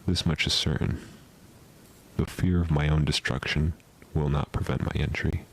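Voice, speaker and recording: A man playing an obsessed researcher, narrating analog horror in a measured, formal, clinical way. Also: extremely low pitch, flat delivery, breathy edge, full character voice, rough recording. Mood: obsessed, resolute. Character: obsessed male researcher documenting otherdimensional creatures at home.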